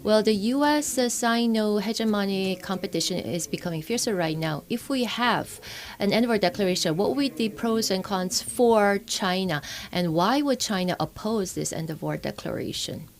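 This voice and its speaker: A woman working as a simultaneous interpreter at a forum. Her voice sits at an ordinary pitch for a woman, and she speaks in halting chunks, pausing and restarting as she keeps up. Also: animated. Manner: halting, with frequent pauses and restarts.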